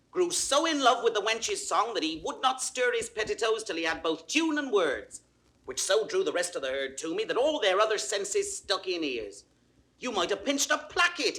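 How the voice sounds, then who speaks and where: High, animated, gloating rogue pedlar. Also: male voice, heavily acted. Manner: theatrical, gloating, animated storytelling. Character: boastful male roguish pedlar and pickpocket, gleeful con man. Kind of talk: classical Shakespearean stage acting, 1960s audio recording.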